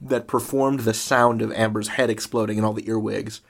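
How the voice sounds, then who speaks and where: A man's voice: lowish voice, fairly even intonation, moderate pace, vocal fry. A man hosting a podcast, asking questions in a conversational interview style.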